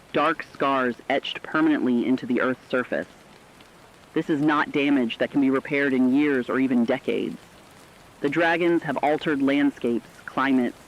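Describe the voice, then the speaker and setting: Casting: a man playing a serious, formal news correspondent for a radio disaster drama, medium pitch, slightly muffled recording. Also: lightly acted. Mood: grave. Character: grave radio correspondent analysing a catastrophe.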